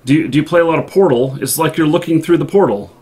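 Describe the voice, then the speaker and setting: A man hosting a live show, chatting off the cuff with callers in a polite, halting and hesitant way. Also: on the high side, darkish tone, husky. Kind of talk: unscripted call-in show chat.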